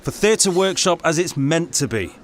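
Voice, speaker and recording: An actor playing a principled, argumentative playwright and director in an audio drama. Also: male voice, on the high side, lively intonation, moderate pace, natural voice. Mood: indignant. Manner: argumentative, indignant. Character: principled, combative male playwright and theatre director.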